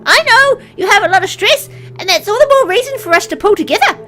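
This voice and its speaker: An exasperated young girlfriend pleads in a raised, high voice , acted by a woman. Her delivery is emotional and emphatic, in visual novel drama style. Music is clearly audible underneath. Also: expressive, fast, pushed and bright, full character voice. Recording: music behind the voice.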